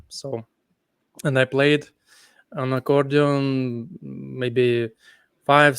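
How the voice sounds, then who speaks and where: Sleepy Ukrainian man, slow halting English. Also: mid-range voice, vocal fry, clean tone, dry recording. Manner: halting non-native English with many fillers. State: sleepy late at night. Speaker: guest guitarist. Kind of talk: casual interview chat.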